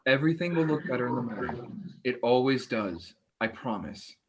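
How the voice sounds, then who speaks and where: A man's voice: medium pitch, animated, normal speed, highs cut off, background noise, lightly acted. An actor playing an awkward, well-meaning man in a staged play reading. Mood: reassuring, flustered.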